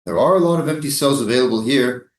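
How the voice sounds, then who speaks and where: A man's voice: mid-range voice, fast talker, slight background noise, close to an everyday voice. Man acting cynical soldier, echoey and distant. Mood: wry, defiant. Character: cynical, coolly dismissive male soldier. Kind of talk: staged reading of a play. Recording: distant, echoey live stage reading.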